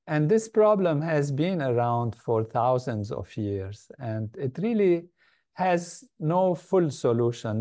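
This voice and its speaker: Older man from Lebanon, interview guest, thoughtful and measured, warm low-key tone. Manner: measured, thoughtful, with frequent pauses and fillers. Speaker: guest, meditation organization leader. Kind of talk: reflective interview answers.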